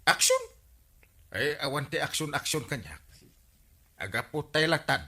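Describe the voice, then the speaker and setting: A man's voice: on the high side, expressive, normal speed, bright, forceful tone, exaggerated voice. A man with an Ilocano accent playing a bumbling, stammering village captain who dodges complaints in a radio comedy drama. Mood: evasive, flustered. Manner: stammering, hesitant, exclamatory. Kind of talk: broad regional radio comedy drama acting.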